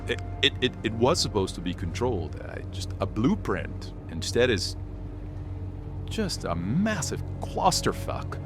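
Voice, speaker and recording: An actor playing a weary, preoccupied corporate man in an audio drama, halting and stammering as he talks. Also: lowish voice, big pitch swings. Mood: weary, frustrated. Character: weary, distracted corporate man under pressure. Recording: music behind the voice.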